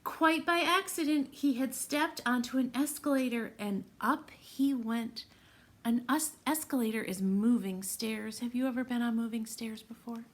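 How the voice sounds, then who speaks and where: A woman's voice: a little high, normal speed. A woman reading a storybook aloud to young children, encouraging them and putting questions to them directly as friends. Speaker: children's read-aloud presenter.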